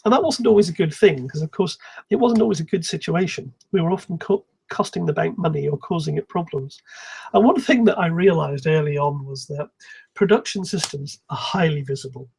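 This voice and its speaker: Husky, higher-pitched man giving a tech talk. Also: poor audio. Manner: calm, measured explaining. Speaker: conference speaker, software engineer. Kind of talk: technical conference talk, explanatory.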